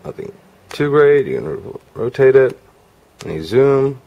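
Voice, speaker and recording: A man's voice: mid-range voice, big pitch swings, a little slow, vocal fry, lo-fi sound. A man reviewing a product in a casual, matter-of-fact demonstration style, with frank asides.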